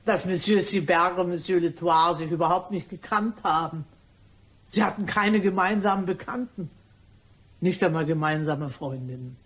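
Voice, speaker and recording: A gossipy cleaning woman in a crime radio play, played by an actress. Her voice is slightly low and husky. She chatters on dramatically and indiscreetly, savouring every bit of scandal. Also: moderate pace, natural voice. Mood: eager gossip.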